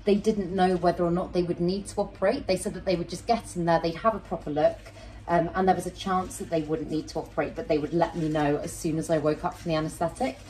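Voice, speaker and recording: A woman in her thirties with a deep voice, chatting casually and rambling to camera as a plant channel host while she repots a plant in her small home kitchen. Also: a little mellow, rough recording. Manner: chatty, rambling, self-interrupting; handling soil and roots while talking to camera. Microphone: fixed camera at medium distance.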